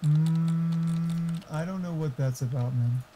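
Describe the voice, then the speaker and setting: Very dark, mellow-voiced man on voice chat. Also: fairly high pitch, takes it slowly, a little hiss or hum, rough recording. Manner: casual, profane, explanatory. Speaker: game modder on voice chat. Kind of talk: casual technical chat.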